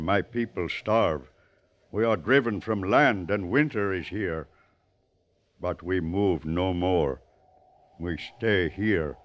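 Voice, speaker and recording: An actor playing a weary, proud old chief in a vintage radio western, speaking solemn, halting broken English. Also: male voice, sits low. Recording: dull vintage radio recording.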